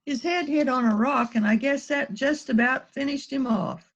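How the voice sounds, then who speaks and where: A woman's voice: sits high, moderate pace. A woman playing a small, innocent-sounding murder suspect in an amateur mystery reading over dull call audio. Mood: innocent, explaining. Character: small, guileless-sounding female murder suspect spinning an alibi. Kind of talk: amateur readers theater mystery.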